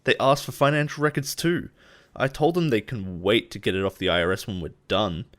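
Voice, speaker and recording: An actor playing a possessive male boss in an intimate roleplay audio drama, coaxing and quietly menacing. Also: on the low side, a little fast, natural voice. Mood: agitated ranting. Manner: soft-spoken coaxing, chuckles and sighs, quietly menacing.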